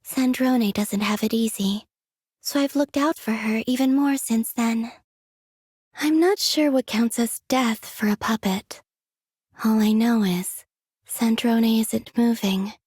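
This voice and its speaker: An actress voicing a gentle, aloof young woman in a video game, with a soft breathy voice and unhurried, wistful, quietly curious delivery. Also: fairly high pitch, dry recording, clean studio sound. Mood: subdued sorrow. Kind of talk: scripted game character voice lines.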